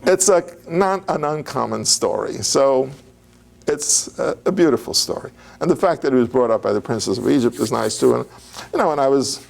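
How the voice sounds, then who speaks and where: A man's voice: mid-range voice, animated, normal speed, creaky. An older man giving an informal, digressive lecture on biblical history, talking conversationally with fillers and restarts.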